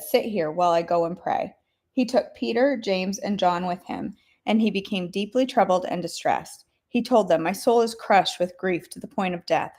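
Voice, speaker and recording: A woman with a wheezy voice who keeps clearing her throat, chatting with listeners and then reading scripture aloud as a devotional host. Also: fairly low pitch. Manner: chatty, addresses listeners directly. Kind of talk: casual chat then Bible reading aloud.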